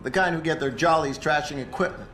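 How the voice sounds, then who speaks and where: Guy playing jaded swat cop in a game, sarcastic and needling, highish voice, bit of background noise. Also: fast talker, fairly bright tone, lightly acted. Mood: wary briefing. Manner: sardonic, needling. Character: jaded, sarcastic male police tactical team officer. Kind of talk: video game cutscene acting. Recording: roomy echo, faint ambient noise.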